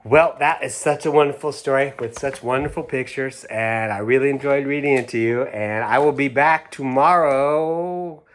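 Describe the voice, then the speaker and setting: A man reading a children's picture book aloud, gently, with chatty asides as if talking to young children. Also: mid-range voice, steady tone, moderate pace, hardly any pauses, slight background noise. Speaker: storybook reader.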